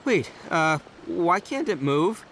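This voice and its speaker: A man voicing a brash, boisterous young male gang leader in a game, loud and slangy. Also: on the high side, very animated, slow, vocal fry, a little hiss or hum, heavily acted. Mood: excitable. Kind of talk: game cutscene voice acting.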